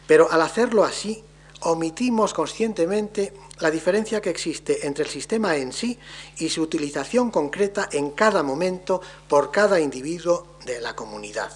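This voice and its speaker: A man delivering a formal academic lecture on linguistics in a measured, deliberate way, his phrases trailing off softly. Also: on the high side, animated, husky.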